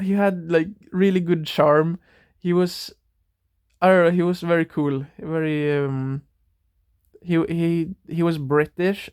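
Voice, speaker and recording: A young man talks slowly in a smooth, clear voice pitched a little high. He rambles and thinks aloud on his own, drifting from one tangent to the next. The sound is dry and close. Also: a little mellow, no echo. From Norway. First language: Norwegian. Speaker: podcast host. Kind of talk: casual solo monologue.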